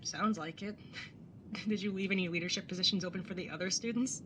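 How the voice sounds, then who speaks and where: A woman's voice: on the low side, fairly even intonation, fairly quick, fairly bright tone, slight background noise, lightly acted. A woman playing a wry, jaded young artist bartender in an audio drama, sardonic and casually sweary as narrator and older sibling. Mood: awkward, friendly. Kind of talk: first-person audio drama narration and dialogue.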